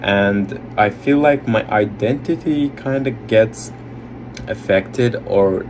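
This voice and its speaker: A young man from Iraq talks as an international student. His voice sits fairly low and his delivery is thoughtful, with small hesitations. Steady background noise runs under the whole recording. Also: slow. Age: young adult. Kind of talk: interview testimony. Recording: noisy background.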